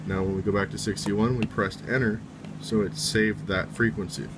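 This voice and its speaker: A man's voice: lowish voice, level tone, moderate pace, background noise. A man giving a casual, step-by-step how-to in an informal way, often reiterating each step. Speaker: tutorial presenter.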